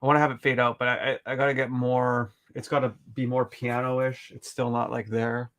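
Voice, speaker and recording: A man's voice: medium pitch, flat delivery, a little fast, a bit bright. Australian man, laid-back art vlogger, rambly, filler-heavy, swears offhand. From rural Queensland, Australia. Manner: rambling, full of "like" and "um", swears casually. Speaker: artist vlogger. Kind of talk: casual chat while painting.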